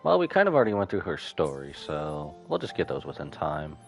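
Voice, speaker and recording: Low-voiced, lively male streamer rambling casually. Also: brisk, creaky. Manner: rambling, loosely thinking aloud. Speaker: live game streamer. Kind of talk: casual livestream commentary. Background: music.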